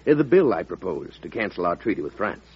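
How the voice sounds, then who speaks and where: An American man acting a proud, formal senator, prickly but courteous, in a 1940s radio drama with a husky voice. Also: deep voice, sing-song, fast talker, heavily acted. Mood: stiff, courteous. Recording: muffled old radio recording.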